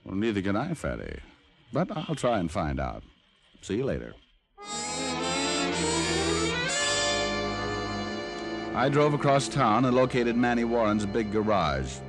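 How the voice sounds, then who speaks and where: An actor playing a cool, wisecracking American private detective in an old radio drama, wry and flippant. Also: male voice, on the low side, very animated, moderate pace. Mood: dry, teasing. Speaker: lead actor and narrator of a detective series. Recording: old radio recording with music behind.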